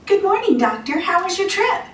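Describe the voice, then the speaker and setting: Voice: extremely high pitch, big pitch swings, normal speed, fairly bright tone, a little hiss or hum, voice pushed up, full character voice. A performer voicing a cheerful, friendly female cartoon pony in radio-play game dialogue. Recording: echoey, distant hall playback.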